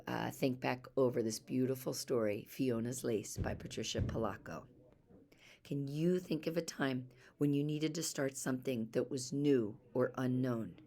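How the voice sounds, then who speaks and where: A woman reading a children's story aloud in an expressive storytelling style. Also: a bass voice. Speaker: storybook reader.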